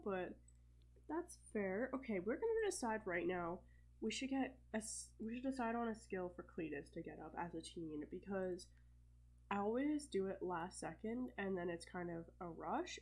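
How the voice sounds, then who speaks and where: A young woman with a stuffed-up cold, casually commentating her gameplay in a chatty, think-aloud way with fillers and soft laughs. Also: medium pitch, husky. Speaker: let's play host.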